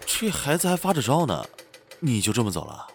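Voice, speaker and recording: A man's voice: medium pitch, wildly swooping pitch, a little fast, creaky, a little hiss or hum. A man playing a chatty, good-natured friend, mildly exasperated, in a modern audio drama.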